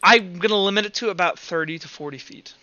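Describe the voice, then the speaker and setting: A man's voice: high-pitched, fast talker, pushed and bright, no echo. A man acting as game master in a tabletop roleplaying game, explaining rulings in an accommodating way. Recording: dull sound with music underneath. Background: music.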